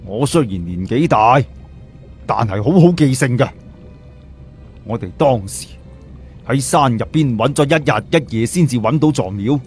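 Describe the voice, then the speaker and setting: Guy reading as old cantonese village headman, audiobook, mid pitch, earnest n proud, plain talk. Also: expressive, normal speed, pauses often, darkish tone, soft background music, natural voice. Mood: reminiscing, assured. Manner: reminiscing, plain-spoken. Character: elderly male village chief, earnest and proud.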